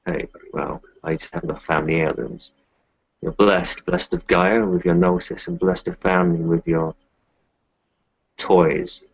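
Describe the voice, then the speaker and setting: A blunt, envious tough guy, acted by a man at a game table, very low and full of gravel. He sounds wary and sardonic. The sound is close, dry and dull. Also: level tone, measured pace, no room sound, heavily acted. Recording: muffled, dry close recording.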